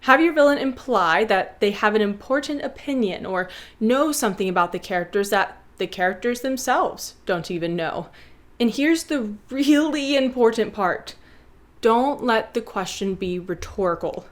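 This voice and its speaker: A woman with a slightly high voice hosts an educational video essay. She reads scripted narration and addresses the audience playfully, as a teacher would a class. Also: animated, normal speed. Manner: playful schoolteacher address to viewers.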